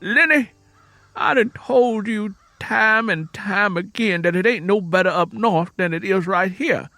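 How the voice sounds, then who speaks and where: Guy doing a poor farm dad, proud and desperate, raised forceful voice, audiobook drama. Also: high-pitched, measured pace, no room sound, faint music behind, voice pushed up, full character voice. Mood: angry, frustrated. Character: proud, desperate, ashamed poor sharecropper father.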